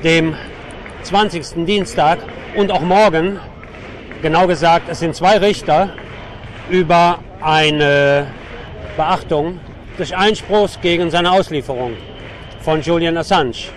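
A man's voice: a little high, slow pace, pauses often. A man giving an on-location radio report from a protest outdoors, with an opinionated, sardonic edge. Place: outdoors on a city avenue at a demonstration. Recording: noisy city street with traffic. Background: clear street and traffic noise.

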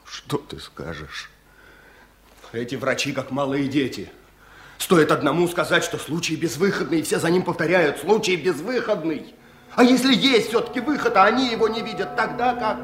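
Weary, gruff village man. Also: on the high side, lively intonation, normal speed, a bit bright. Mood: bitter, questioning. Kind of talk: Soviet radio drama acting.

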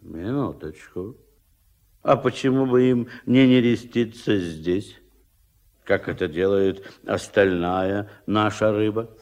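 An actor playing a pompous, bossy, suspicious fishery boss in a radio play, with an officious, bureaucratic, blustering way of talking. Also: male voice, fairly low pitch, slow pace, darkish tone. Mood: officious, skeptical. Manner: officious, bureaucratic, repeating catchphrases. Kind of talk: Soviet radio play acting.

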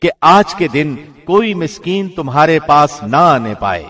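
A man reading a scripture translation from a script in a formal, measured radio-announcer manner. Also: a little high, big pitch swings, fairly bright tone. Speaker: translation reader, radio presenter.

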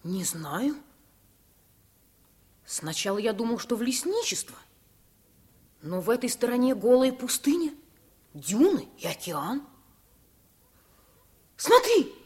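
A woman's voice: on the high side, takes it slowly, pauses often, breathy edge, exaggerated voice. A woman voicing a brave, determined young boy who leads his friend, in a 1950s radio play. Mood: eager. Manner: eager, commanding, lively.

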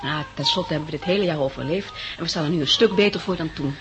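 An actress playing an affectionate, emotional young woman enduring hard times, expressive and earnest, in a 1960s radio drama. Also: deep voice, fast talker, close to an everyday voice. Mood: rueful, affectionate.

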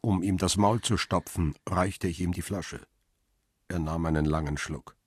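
An actor playing a cool, hard-boiled first-person narrator in a radio crime drama, with a deep husky voice and terse, matter-of-fact delivery. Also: male voice, steady tone, a little slow, no echo, studio quality. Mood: cool, detached.

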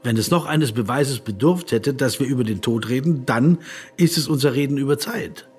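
An actor playing an earnest, politely persistent philosopher in a radio play, with a husky voice and a reflective, probing manner. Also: male voice, mid-range voice, moderate pace, darkish tone, soft background music. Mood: earnest, arguing.

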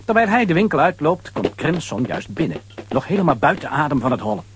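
A man's voice: on the high side, very animated, brisk, noisy background. A man narrating a children's audio play as a conversational, dramatic storyteller.

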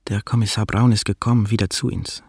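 An actor playing a guarded young butcher's son in a radio play, hesitant, with Yiddish-influenced German. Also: male voice, on the low side, steady tone, quick pace, vocal fry, darkish tone, breathy edge, dry recording, studio quality. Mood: reflective. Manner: hesitant, inverted word order.

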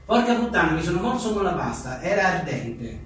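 A man's voice: high voice, big pitch swings, brisk. A man reads comic one-liners aloud at a live book presentation, in a wry, joking way with offhand asides. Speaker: journalist and author presenting his book. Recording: echoey room, distant mic, faint noise.

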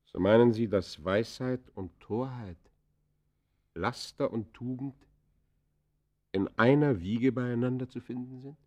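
An actor playing a penitent, brooding criminal in a classic radio play, speaking formal, solemn with halting stage delivery. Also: male voice, mid-range voice, darkish tone, lo-fi sound, close to an everyday voice. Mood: earnest, searching. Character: penitent male criminal confessing his life, earnest and brooding. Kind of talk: classic German radio play acting, literary and declaimed.

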